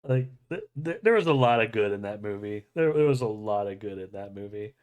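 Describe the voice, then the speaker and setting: A man's voice: on the low side, expressive, brisk, a little mellow, clean tone, dull audio. A man hosting a podcast, chatting casually and enthusiastically as a fan, with plenty of swearing. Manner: profane, enthusiastic fan talk. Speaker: podcast host. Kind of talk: casual chat about movie news.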